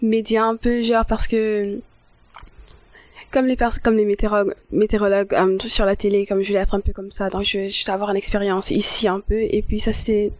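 A teenage girl, a high school intern, answering interview questions in a rambling way with many fillers and restarts. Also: little pitch movement, a little fast, darkish tone, dry recording. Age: teens.

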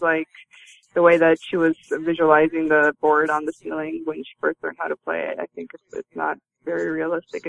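A woman's voice: deep voice, creaky. A woman, giving conversational answers as an interview guest. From Romania and Canada. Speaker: interview guest, magazine publisher. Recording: dull lo-fi line, roomy sound.

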